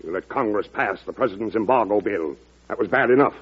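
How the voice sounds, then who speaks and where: An actor playing a forceful man of affairs in an old-time radio drama, pressing his points emphatically. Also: fairly low pitch, quick pace, slightly rough. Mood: angry, demanding. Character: blustering, forceful male businessman or government official. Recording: dull vintage radio recording.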